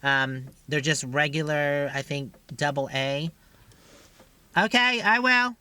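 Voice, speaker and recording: A woman's voice: takes it slowly, bright, forceful tone, clear, resonant tone, no echo. An American woman chatting casually and informally as she vlogs from her motorhome, often talking to herself. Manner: chatty, informal, talks to self while driving. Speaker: RV travel vlogger and driver. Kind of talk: casual unscripted vlog chat. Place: inside a motorhome, outdoors on the road. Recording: noisy vehicle rumble and ambience.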